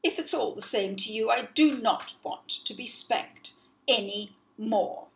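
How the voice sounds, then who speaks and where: A woman's voice: fairly high pitch, measured pace, gravelly, a bit bright. A woman voicing a polite, curious young male elephant calf who speaks earnestly and pleadingly.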